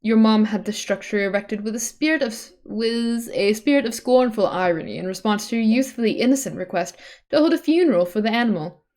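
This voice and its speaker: A woman's voice: medium pitch, animated, a little fast, a little mellow. A woman reading a webcomic aloud on a livestream in an informal way, breaking off for casual asides and self-corrections.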